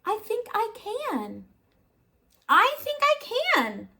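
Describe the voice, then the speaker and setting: A small, kind-hearted blue engine from a children's storybook, voiced by a woman reading aloud, gentle and earnest. The voice is high and moves up and down a lot as she speaks. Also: huge pitch swings, slow pace, heavily acted. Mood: determined. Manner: gentle and kind, rising with resolve. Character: kind, determined little female blue engine.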